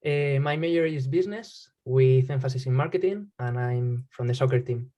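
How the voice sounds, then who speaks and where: A young man speaks in a mid-pitched voice. He keeps his self-introduction brief and plain. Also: moderate pace, warm tone, dull audio. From Spain. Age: young adult. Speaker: student panelist.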